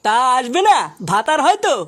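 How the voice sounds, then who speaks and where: High-pitched, hot-tempered cartoon husband. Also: female voice, sing-song, brisk, heavy background noise. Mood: furious. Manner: belligerent, swearing, indignant. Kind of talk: crude comedy cartoon dubbing. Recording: loud music under the voice.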